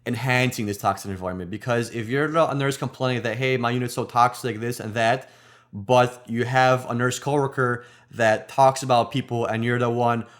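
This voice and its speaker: A man's voice: mid-range voice, fairly even intonation, moderate pace, a bit bright. A man co-hosting a nursing podcast, talking in earnest casual chat and often repeating words as he restarts. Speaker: nurse podcast co-host.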